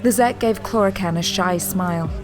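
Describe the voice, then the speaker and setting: A woman's voice: medium pitch, normal speed. A woman reading scripted narration for an audio drama as its narrator. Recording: music behind the voice.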